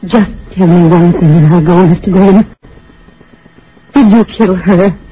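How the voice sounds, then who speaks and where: A woman's voice: on the low side, unhurried, faint music behind, close to an everyday voice. An actress playing a timid, devoted, anxious woman in 1940s radio style, speaking deferentially and earnestly. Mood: hurt, timid. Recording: muffled, faintly noisy vintage radio.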